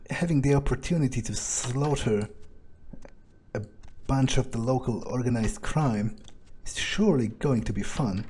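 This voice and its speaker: A man doing in-character gameplay commentary, thinking aloud in a halting, understated way as he plays. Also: mid-range voice, vocal fry, a little mellow, slight background noise. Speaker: gameplay video creator.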